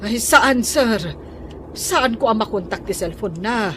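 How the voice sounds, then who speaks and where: Anxious, deferential household maid. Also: female voice, fairly high pitch, lively intonation, measured pace, heavy background noise. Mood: alarmed, urgent. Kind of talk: Ilocano radio drama acting.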